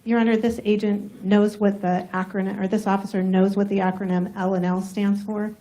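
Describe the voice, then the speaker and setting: Woman prosecutor, soft voice, warm mellow tone, mid pitch, formal step-by-step questions, courtroom. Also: little pitch movement. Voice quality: soft-spoken. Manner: measured, formal, methodical questions with some fillers.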